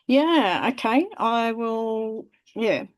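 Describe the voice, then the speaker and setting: A woman's voice: on the high side, animated, drawn-out words. A woman talking casually on a video call, thoughtful and a little hesitant. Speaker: medical professional. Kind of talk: casual sharing in an online meeting.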